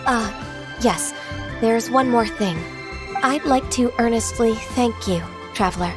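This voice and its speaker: A woman plays a gentle game character, a diligent and courteous secretary. Her voice is on the high side, over faint music. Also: a little slow, lightly acted. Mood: earnest, shy. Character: gentle, polite, diligent female half-immortal secretary. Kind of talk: video game voice acting.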